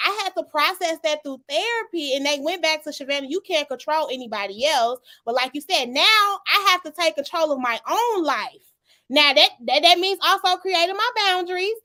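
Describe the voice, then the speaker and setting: A woman's voice: sits high, animated, fairly quick, pushed and bright. A woman hosting a podcast panel, talking animatedly, repeating herself for emphasis and laughing often. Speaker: podcast host. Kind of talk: casual panel discussion.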